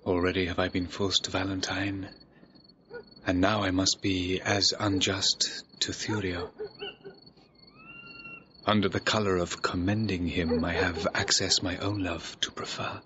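An actor playing a scheming, lovesick young gentleman with poetic, classical Shakespearean delivery. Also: low-pitched, level tone. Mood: brooding, lovesick. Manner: measured, poetic declamation. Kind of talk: classical Shakespeare soliloquy acting. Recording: dull, hissy archive recording.

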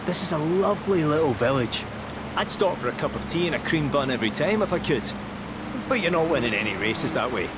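A man's voice: fairly high pitch, animated, fairly quick, very noisy, close to an everyday voice. A British man playing a friendly, laid-back local guide in a video game, narrating casually with a wry, conversational manner. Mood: relaxed, wry. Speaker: in-game tour guide character.

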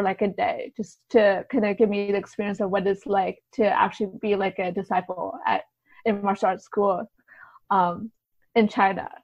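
A woman speaking as an interview guest, casually recounting experiences in a halting, think-aloud way. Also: mid-range voice, a little mellow, no echo, lo-fi sound. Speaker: podcast guest, writer and translator.

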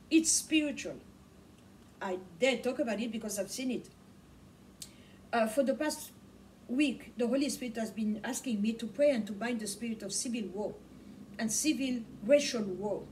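A woman's voice: on the high side, darkish tone. A middle-aged woman whose first language is Swahili, preaching to camera in a small home study. She repeats words for emphasis. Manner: impassioned, repeats words for emphasis, gestures a lot. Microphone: camera mic from across the room. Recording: distant mic with faint room noise.

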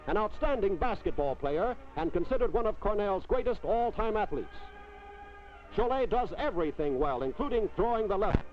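A man's voice: sits high, animated, normal speed. A middle-aged American man hosting a sports newsreel in a formal 1950s announcer delivery. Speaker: sports telecaster, show host. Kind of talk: 1950s newsreel scripted narration. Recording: dull vintage film soundtrack, music behind.

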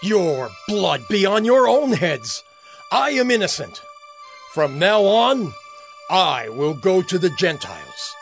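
A man playing a fervent, forthright apostle in a dramatized Bible audio drama, speaking with emphatic, declamatory delivery and a husky voice. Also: high voice, big pitch swings, slow, soft background music, heavily acted. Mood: indignant, defiant.

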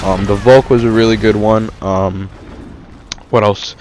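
A man's voice: fairly low pitch, fairly even intonation, a little slow, background noise. A young man casually reviewing a shooter game over gameplay, rambling with many fillers and restarts. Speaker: gaming YouTuber.

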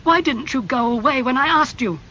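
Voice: mid-range voice, very animated, moderate pace, sharp tone, muffled recording, a little hiss or hum, voice pushed up. A reader voicing a devoted male painter who speaks earnestly and with pained feeling to his friends.

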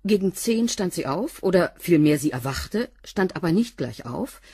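Blunt, husky, low-voiced cleaning woman. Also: animated, normal speed, mellow, dry recording, clean studio sound, exaggerated voice. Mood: matter-of-fact. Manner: laconic, blunt. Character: curt, unfazed small cleaning woman with prison past. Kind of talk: German radio crime drama acting.

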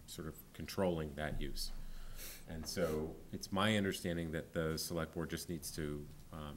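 A man in his forties, a town planning official, answering a board's questions at a public meeting, often pausing with ums and restarting sentences. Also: low voice, a little hiss or hum. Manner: hesitant, many ums and restarts. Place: town hall meeting room. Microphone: desk microphone.

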